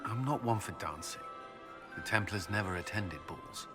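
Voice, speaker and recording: Man playing a lowish-voiced, reserved military commander. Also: moderate pace, lightly acted. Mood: flustered. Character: stern, socially awkward male military commander in formal company. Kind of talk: video game dialogue acting. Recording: clear music behind the voice.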